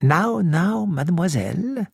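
A reader voicing an elderly male detective who speaks gently and slowly, with a self-assured manner. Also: mid-range voice, expressive, creaky, breathy edge, no echo, clean studio sound, pitched above the performer's own voice, exaggerated voice. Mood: gentle. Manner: gentle, measured, slow.

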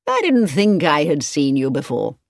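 A woman's voice: low-pitched, huge pitch swings, moderate pace, vocal fry, no room sound, studio quality, exaggerated voice. Woman putting on a curt, inquisitive captain. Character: solid, curt military captain, inquisitive man.

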